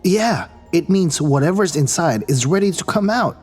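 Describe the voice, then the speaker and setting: A man's voice: fairly high pitch, animated, moderate pace, breathy edge, soft background music. A man playing a protective, cautious crew member in an audio drama, speaking earnestly. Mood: tense.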